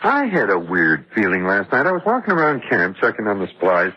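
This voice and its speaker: An actor playing a spooked, husky-voiced camp worker in a radio horror drama. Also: male voice, on the low side, wildly swooping pitch, brisk, exaggerated voice. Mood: uneasy. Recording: dull lo-fi radio recording.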